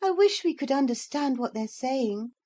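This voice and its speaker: A woman doing an excited little girl. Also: sits high, normal speed, pitched above the performer's own voice.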